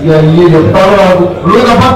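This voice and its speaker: A man's voice: sits high, fast talker, crowd noise, lightly acted. A man playing an impatient, careless young coal miner in a colloquial stage play, speaking hastily. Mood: impatient, grumbling. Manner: hasty, grumbling. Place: open-air stage, distant camera. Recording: echoey stage, far from the mic.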